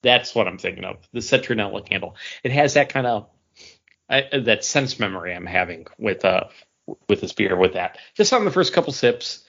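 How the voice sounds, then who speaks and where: A man's voice: fairly low pitch, big pitch swings, moderate pace, vocal fry, fairly bright tone, a little hiss or hum. A man chatting as a podcast co-host over an internet call, describing things in a hesitant, meandering way. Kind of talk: casual podcast chat. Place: remote voice call. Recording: dull lo-fi internet call audio.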